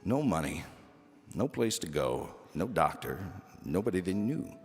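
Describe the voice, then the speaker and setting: A man reading a humorous children's story aloud expressively, with dramatic pauses and emphasis. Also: low-pitched, big pitch swings, darkish tone, long reverb tail, faint music behind.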